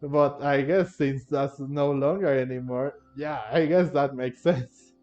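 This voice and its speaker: A man's voice: medium pitch, fairly even intonation, unhurried, a little mellow. A man giving casual, rambling commentary over a game playthrough, repeating himself as he goes. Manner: rambling, repetitive, chuckles between phrases. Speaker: gaming streamer. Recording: dull muffled mic, faint game music.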